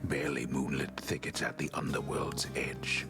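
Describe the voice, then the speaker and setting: An actor playing a pompous, solemn storyteller who narrates a video game in florid, portentous phrases. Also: male voice, a bass voice, fairly even intonation, normal speed, whispered, music in the background.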